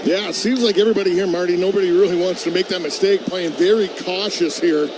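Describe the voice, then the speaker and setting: Guy analysing hockey plays live, high pitched, chatty and halting, noisy arena behind. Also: steady tone. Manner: conversational, halting, colloquial. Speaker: colour commentator. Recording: clear arena noise behind. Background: hockey arena noise.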